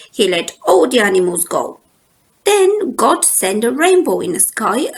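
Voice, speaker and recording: Woman storyteller, higher-pitched voice, lively intonation, unhurried delivery, children's book narration. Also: rough recording. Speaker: storybook reader.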